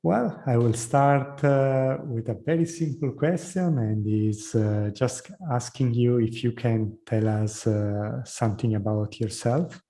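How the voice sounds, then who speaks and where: A man's voice: mid-range voice, slow pace, hardly any pauses, mellow. A man hosting an interview, asking questions hesitantly with many uhs. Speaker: interview host.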